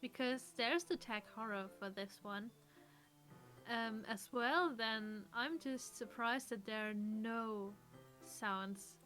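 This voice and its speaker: A woman's voice: a little high, slow pace, fairly bright tone, soft background music. A woman co-hosting a let's play, chatting casually and explaining things in a thoughtful way. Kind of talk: casual chat.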